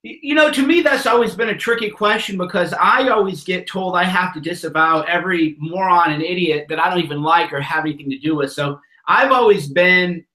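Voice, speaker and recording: A man's voice: sits high, a little fast, few pauses, sharp tone, echoey room, rough recording. A man hosting a casual interview, asking questions and giving his frank take with frequent restarts. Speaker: host of call-in show.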